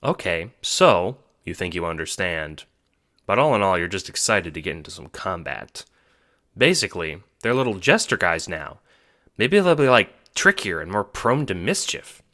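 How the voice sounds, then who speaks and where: A man narrating an audio drama in an expressive, scripted storytelling style, addressing the listener as the hero. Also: low-pitched, normal speed. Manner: animated, expressive. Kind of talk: scripted second-person storytelling.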